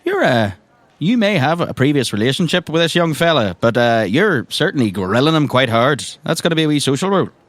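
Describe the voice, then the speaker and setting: A man's voice: fairly high pitch, sing-song, brisk, a bit bright, no room sound. A man acting as game master, narrating the scenes of a tabletop roleplay podcast. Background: music.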